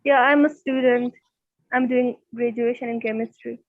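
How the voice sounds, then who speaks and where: A woman's voice: a little high, steady tone, moderate pace. Young Pakistani woman, tinny echoey call. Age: young adult. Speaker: guest, student. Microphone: video call.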